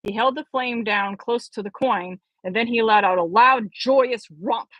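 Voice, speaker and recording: A woman's voice: a little high, unhurried, dry recording, muffled recording. A woman reading a story aloud expressively as an amateur audiobook reader.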